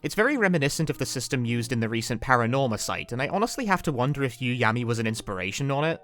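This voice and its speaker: Animated wry male video essay narrator. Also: medium pitch, fast. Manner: wry, dry humor with casual asides.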